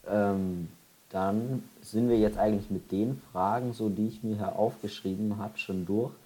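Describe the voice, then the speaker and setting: A man's voice: lowish voice, steady tone, a little slow, dark tone. A man acting as interviewer, asking questions and responding in a casual conversational style.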